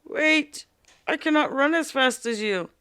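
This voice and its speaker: A woman voicing a timid, lonely male frog with a scratchy voice in a read-aloud fairy tale, speaking slowly and politely. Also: high-pitched, animated, sharp tone, pitched above the performer's own voice, full character voice. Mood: pleading.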